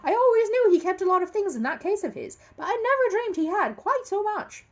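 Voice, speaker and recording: A brisk older matron performed by a woman storyteller lays down the law in a high, animated voice. She is stern, emphatic and no-nonsense, straight from a storybook. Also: heavily acted. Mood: indignant. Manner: emphatic, firm.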